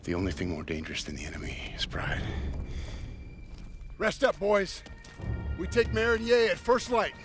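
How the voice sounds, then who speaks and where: An actor playing a hardened, sarcastic army sergeant in a dramatic war game. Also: male voice, high-pitched, wildly swooping pitch, moderate pace, fairly bright tone, full character voice. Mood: commanding. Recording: music behind the voice.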